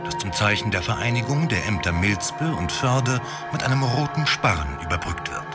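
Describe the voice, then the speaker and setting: Formal male narrator over loud music. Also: fairly low pitch, expressive, normal speed, natural voice. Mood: factual. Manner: formal, factual. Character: factual, matter-of-fact encyclopedic town chronicler. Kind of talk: scripted documentary-style narration.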